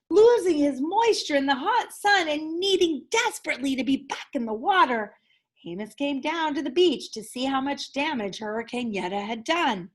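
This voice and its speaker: A woman teacher reading a children's story aloud expressively, leaning dramatically on key words. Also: high-pitched.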